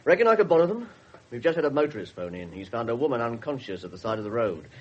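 An actor playing a businesslike, polite police officer in a 1970s radio drama, brisk and matter-of-fact. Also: male voice, medium pitch, big pitch swings, husky. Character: businesslike, apologetic male police station colleague. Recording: dull 1970s radio broadcast.

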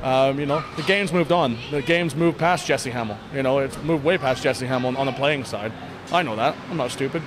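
A man in his thirties, a sports coach giving a candid, casual post-game interview in a plain-spoken, halting way with repeated words. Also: mid-range voice. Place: indoor sports hall, blue block walls. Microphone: handheld interview microphone. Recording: noisy venue with background ambience.

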